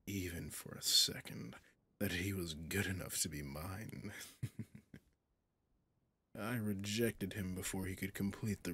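A man voicing a seductive, possessive, menacing demon in an ASMR horror roleplay, husky and often whispering, with a teasing, condescending drawl. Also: low-pitched, pushed and bright, exaggerated voice. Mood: gloating.

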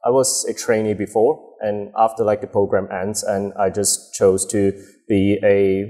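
Soft-spoken young man. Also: on the low side, level tone, measured pace. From Hong Kong. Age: 20s. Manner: hesitant, many fillers and restarts. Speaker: guest, bar co-owner. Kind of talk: casual interview answers. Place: dim bar set with a host. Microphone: lapel mic.

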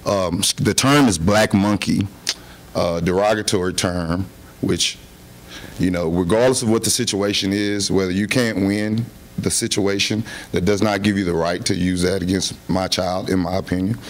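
A man giving public comment as a parent at a board meeting, speaking in a halting, earnest, polite way. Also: sits low, steady tone. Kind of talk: unscripted public address to a board. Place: public meeting room, indoors.

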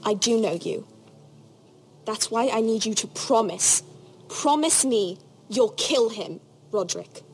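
A woman's voice: a little high, expressive, takes it slowly, pauses often. A grave teenage girl of a noble house in a fantasy game drama. She speaks with earnest, troubled urgency and intensity, with music playing behind her. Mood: grave, resolute. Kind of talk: dramatic video game acting.

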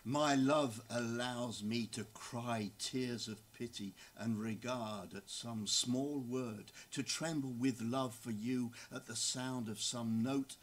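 Male, an adoring period poet in love, slow and tender letter reading. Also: mid-range voice, steady tone. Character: tender, adoring early-1900s poetic lover.

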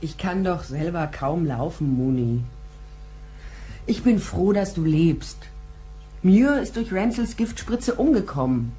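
A woman's voice: lowish voice, lively intonation, takes it slowly, warm tone, husky, soft background music, exaggerated voice. An actress playing a tough, wry private detective who tells her case in controlled, vivid first-person crime drama narration. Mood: weary, relieved.

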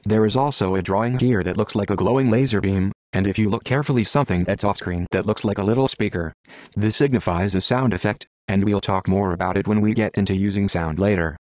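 A man walks through a software tutorial one step at a time, in a methodical, explaining manner. His voice sits on the low side. The recording is close and dry, with no room sound. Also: no room sound. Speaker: instructor.

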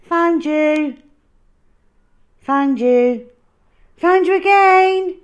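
A woman's voice: extremely high pitch, very slow, voice pushed up, full character voice. A woman voicing a small, polite, playful boy with an earnest manner in a picture-book story. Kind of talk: children's picture-book reading.

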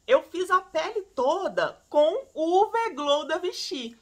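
A woman in her forties chatting to camera from a room at home, recommending skincare products, animated and chatty, calling her viewers "gente". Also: very high voice, slow pace. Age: 40s. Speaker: beauty vlogger.

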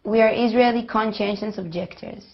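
A nineteen-year-old woman giving a deliberate, earnest scripted appeal to camera. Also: medium pitch, dull audio. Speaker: conscientious objector in an appeal. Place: studio backdrop.

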